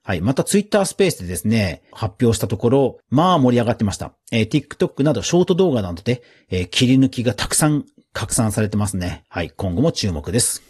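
A man's voice: mid-range voice, very animated, fast, few pauses, a little mellow, husky. A man hosting a podcast news round-up in a casual, chatty way, with informal asides and little interjections of agreement. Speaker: podcast host.

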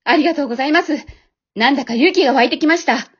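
An amateur actress playing a modest, earnest housewife YouTuber in a radio drama, speaking politely and hesitantly. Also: female voice, high-pitched, very fast, dull audio, natural voice. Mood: grateful.

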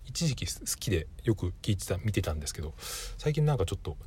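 A man's voice: sits low, very animated, fast, darkish tone, a little hiss or hum. A man hosting a podcast solo, talking casually and thinking aloud with frequent self-corrections. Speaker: podcast host.

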